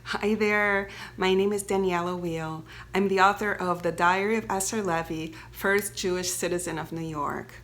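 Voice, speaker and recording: Excited woman author. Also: fairly low pitch, normal speed, hardly any pauses. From Brazil. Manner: excited, friendly. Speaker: book author.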